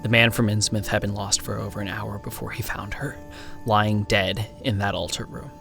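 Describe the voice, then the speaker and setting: A man's voice: on the low side, flat delivery, gravelly. A man narrating a horror story in a solemn, suspenseful, dramatic way. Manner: slow, solemn, suspenseful delivery. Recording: music behind the voice. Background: music.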